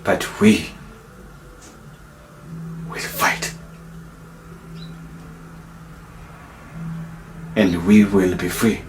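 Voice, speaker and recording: A man acting a defiant, resolute young freedom fighter on trial in a dramatic monologue, speaking deliberately with long pauses. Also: low voice, fairly even intonation, a little hiss or hum. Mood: grave, determined. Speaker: actor.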